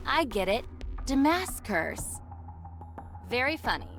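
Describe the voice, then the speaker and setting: A woman's voice: high voice, big pitch swings, takes it slowly, a bit bright, faint music behind, exaggerated voice. A woman voicing a friendly, easygoing young female character in a video game. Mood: amused.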